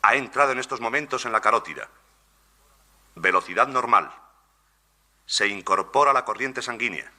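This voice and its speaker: An actor playing an alert, precise radar technician in a radio drama, giving formal status reports. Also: male voice, medium pitch, moderate pace, long pauses, pushed and bright. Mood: businesslike.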